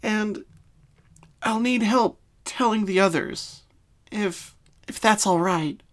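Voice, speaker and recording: A woman voicing a sorrowful young female cartoon pony in a fan story, speaking softly and solemnly with hesitations. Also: medium pitch, pitched above the performer's own voice, natural voice. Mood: pained, earnest. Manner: soft, solemn, halting. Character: sad young female pony confessing her hidden identity.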